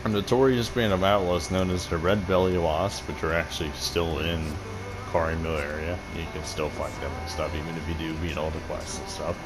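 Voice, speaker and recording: A man with a deep voice casually commenting on a game and reading quest text, rambling and swearing freely. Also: level tone, fairly quick, over loud music, poor audio. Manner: profane, rambling, laughs often. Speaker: gaming video host.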